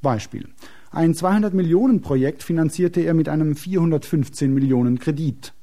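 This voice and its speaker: A man's voice: fairly high pitch, moderate pace, mellow. A man delivering scripted documentary commentary as narrator.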